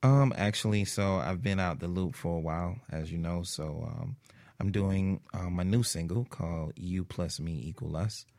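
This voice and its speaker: Deep, gravelly man chatting casually. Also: normal speed, a little mellow, no room sound. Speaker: guest musician. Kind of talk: casual chat.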